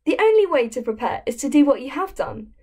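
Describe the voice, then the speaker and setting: A young woman reads a speech aloud in an earnest, emphatic style. Her voice is fairly high and moves around in pitch with plenty of animation. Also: sing-song, brisk, mellow. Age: young adult. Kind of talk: dramatic speech reading. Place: plain indoor room.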